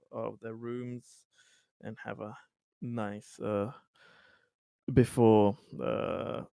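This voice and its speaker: A man playing a tabletop roleplaying game, casually and haltingly describing what his character does. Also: mid-range voice, creaky, darkish tone, clear, resonant tone, dry recording. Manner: halting, pausing often.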